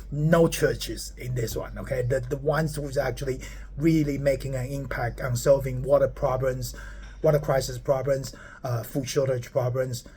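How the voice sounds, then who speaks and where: A man's voice: mid-range voice, darkish tone, a little hiss or hum. A man in his forties from Taiwan, a startup founder talking casually to camera on his phone, rambling and often stopping to ask "okay?". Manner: rambling, repeats words and asks "okay?" often.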